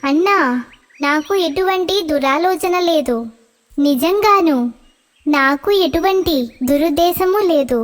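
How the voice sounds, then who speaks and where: Young squirrel sidekick for cartoon: tiny, very high-pitched, pleading, eager flatterer. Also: female voice, measured pace, soft background music. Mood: earnest pleading. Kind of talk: animated children's story acting.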